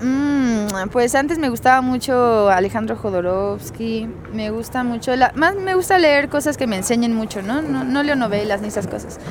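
A woman's voice: fairly high pitch, lively intonation, normal speed, hardly any pauses, slight background noise. A young Mexican woman, a singer guest, chatting casually and bluntly in a radio interview, laughing often. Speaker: musician guest.

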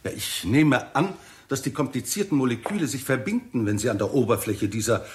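An actor playing a persuasive, methodical scientist in a 1960s German radio play, speaking deliberately and precisely. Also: male voice, mid-range voice, noisy background, close to an everyday voice. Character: persuasive, methodical male scientist defending an absurd hypothesis.

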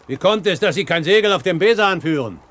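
An actor playing a determined pirate captain giving orders in a radio play, commanding and articulate. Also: male voice, high-pitched, lively intonation, brisk, fairly bright tone. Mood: resolute. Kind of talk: German radio play acting.

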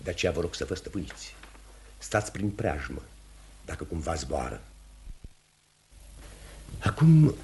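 An actor playing a commanding pastor who hunts the devil, speaking in an emphatic, oratorical radio theatre manner. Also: male voice, low-pitched, vocal fry, a little mellow, exaggerated voice. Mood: grave, controlled. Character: commanding, certain male pastor hunting witchcraft and the devil.

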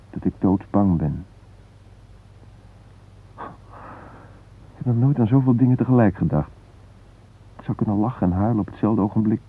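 An actor playing a frightened hostage father in a radio play, musing in a halting, wry, vulnerable way. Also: fairly low pitch, husky. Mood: wry, afraid. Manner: reflective, halting, wryly self-mocking. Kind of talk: radio drama interior monologue and dialogue. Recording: muffled phone-like band.

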